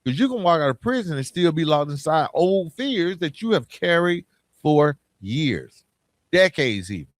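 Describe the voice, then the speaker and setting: A man's voice: on the high side, sing-song, moderate pace, no room sound. American man, dry close podcast sound. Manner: emphatic, colloquial, addresses listener directly with rhetorical questions. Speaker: podcast host. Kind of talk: motivational podcast monologue, casual and conversational.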